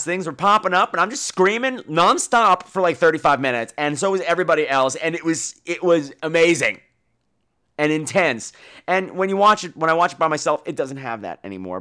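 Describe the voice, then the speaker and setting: A man hosting a podcast, giving casual, opinionated and profane film commentary in an animated way. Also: on the high side. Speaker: podcast host.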